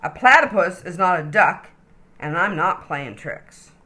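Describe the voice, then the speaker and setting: An indignant little storybook koala acted by a woman, fed up and exclaiming. The voice is bright and forceful, swinging widely in pitch as he grumbles and protests. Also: lively intonation, a little slow. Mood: grumpy. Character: grumpy male koala fed up with being misnamed.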